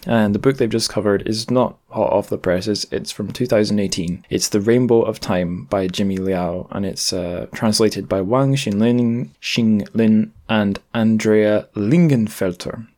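A man's voice: on the low side, steady tone, measured pace, hardly any pauses, dark tone. A man hosting a podcast in a casual, chatty way, with wry, self-deprecating asides. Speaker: podcast host.

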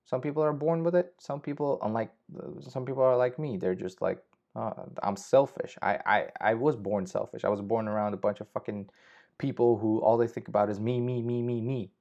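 A man's voice: mid-range voice, a little fast, a little mellow. A young man hosting a casual solo podcast, rambling with frequent swearing and restarts. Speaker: podcast host. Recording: slightly dull lo-fi audio.

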